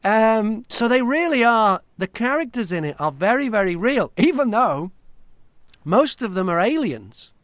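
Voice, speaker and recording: A man speaks in a dry, close sound with no room echo. He interviews in an informal, halting style, as though working out each thought while he chats. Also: sits high, very animated, fairly bright tone. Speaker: interviewer, audiobook narrator. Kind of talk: casual chat interview.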